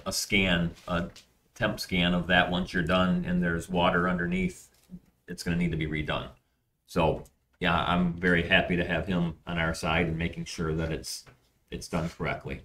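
Deep-voiced man reporting at a board meeting. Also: bright, forceful tone. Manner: conversational, many fillers and restarts. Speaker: school administrator giving a facilities report. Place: school library board meeting room. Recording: distant, roomy meeting audio.

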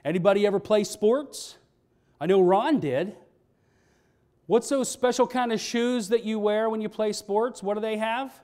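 A middle-aged male pastor with a notably high voice, preaching from a church pulpit. He keeps it conversational, putting questions to the congregation as he goes. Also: unhurried, warm tone. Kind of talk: sermon. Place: church sanctuary, standing at a pulpit. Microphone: pulpit microphone, filmed by a fixed camera.

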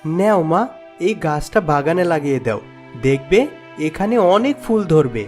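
A man playing a kind, earnest young prince in a fairytale cartoon, with an expressive delivery. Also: fairly high pitch, a little slow, faint music behind. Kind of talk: Bengali fairytale cartoon acting.

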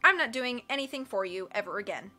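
A woman's voice: a little high, lively intonation, fast talker, bright, forceful tone, soft background music. A woman voicing a vain, fashion-obsessed villainess who pouts and snaps back. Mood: haughty petulant. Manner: pouting, haughty, petulant. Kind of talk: audiobook character voice.

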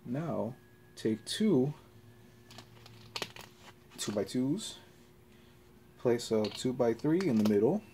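Guy doing a casual how-to at home, talks real slow and halting, bit of noise behind him. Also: mid-range voice, big pitch swings, dark tone. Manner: halting, pausing between short step-by-step instructions. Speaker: tutorial presenter. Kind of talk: casual hands-on toy-building tutorial. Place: carpeted floor in a room at home.

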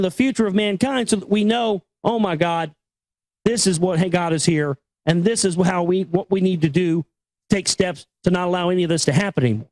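A man's voice: sits high, darkish tone, no room sound, rough recording. A man hosting a book reading with his own blunt, emphatic, repetitive commentary, swearing freely.

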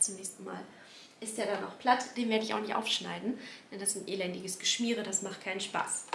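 A woman's voice: mid-range voice, fairly bright tone, roomy sound, slight background noise. A woman in her forties, a beauty vlogger with a slightly nasal voice from a cold, chatting through a product update at home in a rambling way, with many fillers and self-corrections. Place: home room, indoors.

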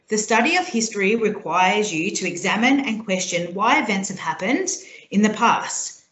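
Australian woman teacher in an echoey room. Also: medium pitch. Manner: clear, steady, explanatory. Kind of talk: recorded subject talk to students.

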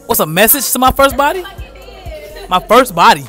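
A man's voice: very high voice, animated, normal speed, sharp tone, soft background music. A young man puzzled by a street interview question who brushes it off. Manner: confused, questioning, dismissive.

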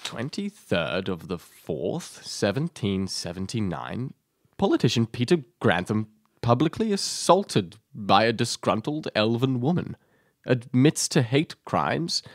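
An actor playing a fussy, sceptical storyteller in an audio drama, dry, sarcastic and disapproving. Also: male voice, medium pitch, very animated, measured pace, dry recording. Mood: reading aloud. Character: fussy, sceptical male storyteller, easily exasperated.

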